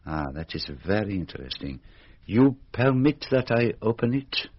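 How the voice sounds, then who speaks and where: An actor playing an eccentric, courteous private detective in a radio drama, speaking formally and deliberately with dramatic exclamations. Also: male voice, on the low side, big pitch swings, highs cut off, noisy background. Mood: probing, measured.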